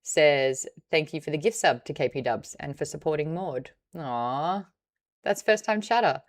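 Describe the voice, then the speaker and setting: A woman's voice: low-pitched, measured pace. A woman with an Australian accent hosting a casual book club livestream, teasing and sarcastic as she chats with viewers.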